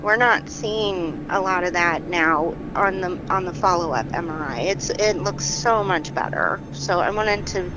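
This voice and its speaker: A woman playing a calm, reassuring doctor who talks a patient through things with soothing, patient, halting everyday phrasing in an audio drama. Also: mid-range voice, background noise, natural voice. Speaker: therapist or doctor counselling a patient. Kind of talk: naturalistic fiction podcast dialogue.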